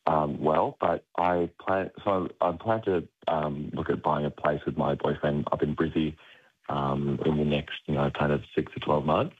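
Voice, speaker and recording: A young Australian man with a hoarse voice, chatting as a radio guest, rambling with lots of fillers and frequent laughter. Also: very deep voice, fairly even intonation, moderate pace, narrow, tinny audio. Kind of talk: casual chat.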